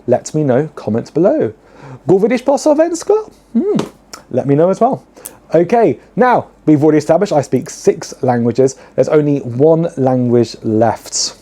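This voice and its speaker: A young British man, a drag queen hosting his own show, chatting to camera in a camp, theatrical way with lots of fillers and sudden high exclamations. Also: dark tone, a little hiss or hum. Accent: British English. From United Kingdom. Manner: many "um" fillers, chatty and self-mocking. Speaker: drag queen podcast host. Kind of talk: chatty solo talk to camera.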